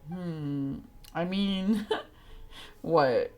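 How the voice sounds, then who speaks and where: A woman's voice: sits low, extremely slow, darkish tone. A woman giving casual, reactive live commentary as she streams a game, talking informally.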